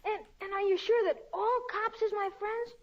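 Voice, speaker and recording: A very high-pitched young boy, scared but street-tough. The speech is slangy, ungrammatical and stammering. It comes from an old radio drama with a dull, lo-fi sound. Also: steady tone, normal speed. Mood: hopeful. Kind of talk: old-time radio comedy-drama acting.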